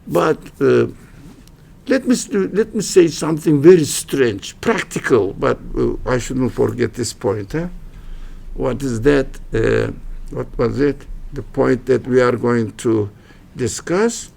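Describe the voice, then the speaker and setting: A man with a Turkish accent, a native Turkish speaker, giving an informal religious studies lecture in halting English, restarting phrases and making wry jokes at his own expense. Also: mid-range voice, creaky, a little mellow. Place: lecture room at a university religious center.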